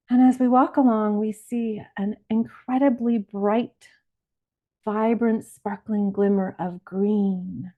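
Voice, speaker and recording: A woman leading a guided visualization as a relaxation guide, speaking in a soothing, unhurried way. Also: mid-range voice, steady tone. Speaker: laughter yoga leader, meditation guide. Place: live online or group session recording. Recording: band-limited, phone-like sound.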